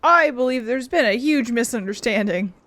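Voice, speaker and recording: A woman's voice: fairly high pitch, expressive, moderate pace, a bit bright. A woman reading out dialogue choices during a game playthrough.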